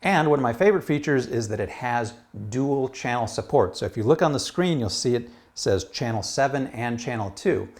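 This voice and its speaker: A man's voice: mid-range voice, animated, moderate pace, few pauses, darkish tone. A man giving a casual product review in a conversational, emphatic way.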